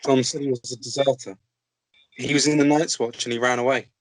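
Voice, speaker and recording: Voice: mid-range voice, fairly even intonation, a little fast, close to an everyday voice, poor audio. An actor playing an earnest, questioning young noble boy in an amateur table read.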